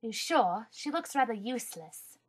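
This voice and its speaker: A woman's voice: fairly high pitch, sing-song, normal speed, breathy edge. A woman voicing a stern, haughty, withering commander in a parody cartoon. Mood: dry disdain. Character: stern, scornful aristocratic female commander. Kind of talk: fan-dub parody cartoon acting.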